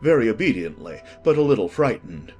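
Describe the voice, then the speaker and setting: A man narrating a scripted storybook in an expressive, deliberate way. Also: on the low side, husky. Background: music.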